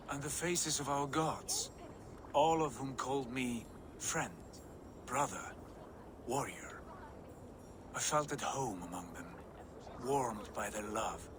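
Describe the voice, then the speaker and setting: A man's voice: medium pitch, takes it slowly, long pauses, background noise, close to an everyday voice. A man playing a proud, visionary Viking warrior in a video game, speaking in solemn, grandiose, archaic phrases. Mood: reverent, dreamy. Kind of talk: dramatic video game cutscene acting. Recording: music behind the voice.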